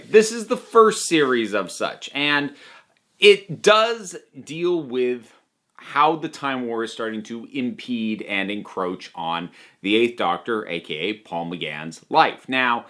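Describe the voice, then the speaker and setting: A man giving a conversational review, stressing words emphatically with dramatic pauses. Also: mid-range voice, bright, forceful tone.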